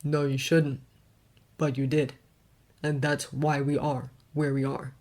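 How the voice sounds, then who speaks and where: A woman voicing a stern, guarded wealthy man who talks in a clipped, hard, deadpan way. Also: very deep voice, slow pace. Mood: weary.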